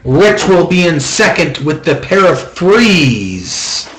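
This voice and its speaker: A man's voice: on the high side, very animated, takes it slowly, a bit bright. A man hosting a card-break auction, giving methodical, repetitive running commentary on a card deal in a small room. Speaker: host of a trading-card box-break auction. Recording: roomy echo.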